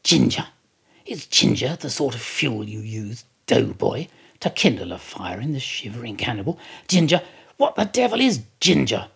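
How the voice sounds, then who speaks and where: Blustering, gravelly sailor, acted by a male reader. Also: medium pitch, big pitch swings, normal speed, exaggerated voice. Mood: sarcastic outrage. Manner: mocking, theatrical, emphatic. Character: blustering, sarcastic, indignant whaling ship's second mate. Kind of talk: dramatised classic novel reading.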